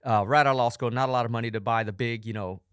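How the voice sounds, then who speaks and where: An upbeat man in his forties hosts a motivational podcast from a studio. He tells stories with eager energy in a smooth, resonant voice of middling pitch. The sound is close and dry, with no room echo. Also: fairly even intonation, rapid-fire. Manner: upbeat, enthusiastic storyteller. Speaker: podcast host.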